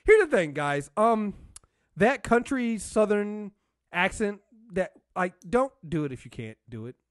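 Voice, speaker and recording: This is a man with a slightly high voice, recorded very dry. He hosts a podcast and talks in a casual, opinionated way. He leans on his points emphatically and doubles back to repeat phrases as he goes. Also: big pitch swings, unhurried, no room sound. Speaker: podcast host reviewing a TV show.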